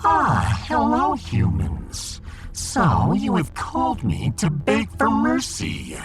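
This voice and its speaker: A haughty alien fish emperor in a sci-fi audiodrama. He speaks slowly and theatrically, his pitch swinging wildly as he airs old grievances, with clear music playing underneath. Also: huge pitch swings, heavily acted. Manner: grandiose, theatrical, self-pitying, drawn-out delivery. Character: pompous, embittered alien telescope-fish emperor, male.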